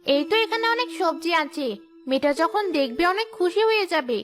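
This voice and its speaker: A woman's voice: very high-pitched, expressive, fairly quick, a bit bright, faint music behind. A woman voicing a doting, vengeful female ghost mother in a children's cartoon story, fussing and talking to herself. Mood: caring, fussing.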